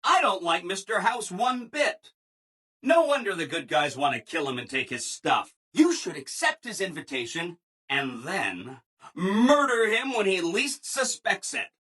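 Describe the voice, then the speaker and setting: An actor playing a relentlessly cheerful, eager-to-please robot assistant in a video game, chirpy and over-eager, with exaggerated emphasis. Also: male voice, sits high, sing-song, measured pace, bright, forceful tone, husky, heavily acted. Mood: brightly scheming. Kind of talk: animated video game character dialogue.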